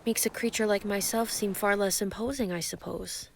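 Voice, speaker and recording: Woman acting a giant ancient sea empress, female, calm, teasing, possessive, formal grandiose phrasing, intimate audio roleplay, noticeable background ambience. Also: mid-range voice, moderate pace, vocal fry, slight background noise, lightly acted. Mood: teasing adoration.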